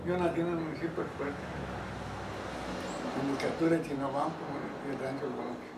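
An older man from Sinaloa, slowly telling his grandson about his ranch life. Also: fairly high pitch. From Sinaloa, Mexico. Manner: slow, plain storytelling, seated in an armchair. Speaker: grandfather being interviewed. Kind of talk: recalling ranch life in casual conversation. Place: home living room, indoors. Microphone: camera mic from across the room. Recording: roomy, distant home video with hiss.

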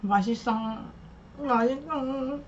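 A woman's voice: sits high, takes it slowly. A young woman streaming herself eating, chatting casually, thanking viewers and murmuring between bites in a small room. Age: young adult. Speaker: mukbang streamer. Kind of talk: casual live-stream chat while eating. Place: small room indoors at a table. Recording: dull lo-fi stream audio, faint noise.

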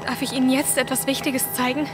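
A woman's voice: high voice, flat delivery, brisk, over music, lightly acted. A woman playing a strange, intense, self-assured girl who keeps bumblebees, in an audio drama. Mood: insistent.

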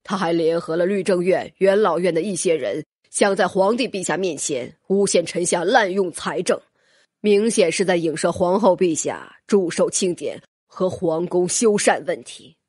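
An actor playing a scheming, obsequious court minister in a fantasy audiobook, speaking in formal, wordy, deferential phrases. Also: male voice, sits high, normal speed, a little mellow, breathy edge, no room sound, clean studio sound. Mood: aggrieved, insinuating. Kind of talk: audiobook character acting.

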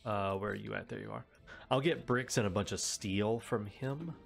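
A man with a stuffy head cold talks through his game in a relaxed, rambling way, often stopping to correct himself. His voice sits fairly low and sounds nasal. Soft music plays quietly behind him. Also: moderate pace, gravelly. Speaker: let's play host. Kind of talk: casual gameplay commentary.

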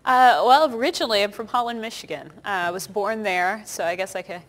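A woman's voice: medium pitch, fairly quick, fairly bright tone. A young American woman from northeast Indiana, a chatty interview guest explaining things casually. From northeast Indiana, United States. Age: young adult. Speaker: interview guest, park naturalist. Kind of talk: casual informative interview talk. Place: small TV studio. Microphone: lapel mic.

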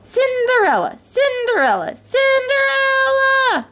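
Woman playing a bossy storybook stepmother, swooping pitch. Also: big pitch swings, normal speed, very noisy. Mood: bossy, calling. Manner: commanding, calling out sharply. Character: cold, bossy, mocking stepmother of a fairy tale.

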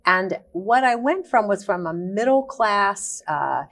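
A woman around sixty, a guest in a studio interview, telling her life story in long, searching sentences with many pauses and ums. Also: mid-range voice, lively intonation, fairly bright tone. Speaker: guest, activist. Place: TV studio set.

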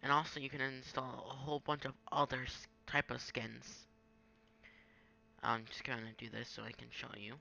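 A young man talks through a software tutorial in a casual, offhand way. His voice is bright with a creaky edge, and there is faint noise behind him. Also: medium pitch, little pitch movement, moderate pace, poor audio. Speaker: gaming tutorial maker. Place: indoors at a home computer.

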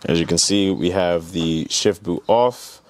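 A man's voice: sits low, unhurried, no room sound. A young man talking casually and explaining hands-on work, as in a DIY car vlog, into a clip-on mic. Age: young adult. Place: indoor garage, partly inside car. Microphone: clip-on lavalier.

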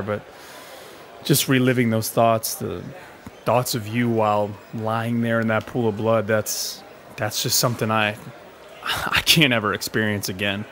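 A man playing a bitter, grieving soldier recording a personal audio log in a halting, emotional way for an audio drama. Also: mid-range voice, fairly bright tone, slight background noise. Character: grieving, bitter, despairing male soldier in therapy.

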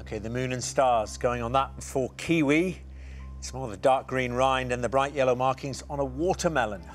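A middle-aged man hosting a studio quiz show, reading questions and chatting with contestants. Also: mid-range voice. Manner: brisk, reads questions clearly.

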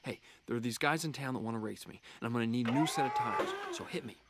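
A man's voice: medium pitch, fast talker, heavy background noise, lightly acted. A man voicing a confident, good-natured young race car in a video game, casual and wry, with an American accent.

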